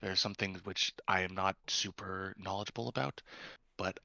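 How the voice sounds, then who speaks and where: Halting French Canadian man, dull lo-fi mic. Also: low voice, steady tone, vocal fry, bright, forceful tone, no echo. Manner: halting, stumbling over words, sighs. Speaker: panelist. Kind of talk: casual debate chat.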